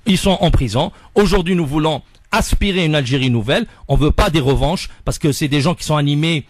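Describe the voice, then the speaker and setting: A man's voice: a little high, a little fast, no echo. A man speaking as a studio guest in a political debate, emphatic and often repeating words.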